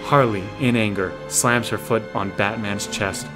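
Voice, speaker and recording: A man narrates an audio drama in scripted, present-tense action prose. His voice sits fairly low. Music is prominent underneath. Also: steady tone, unhurried.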